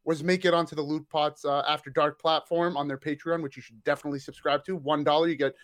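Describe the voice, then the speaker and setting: A man appearing as a podcast guest, eager and excitable, rambling happily in casual chat. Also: a little high, fast.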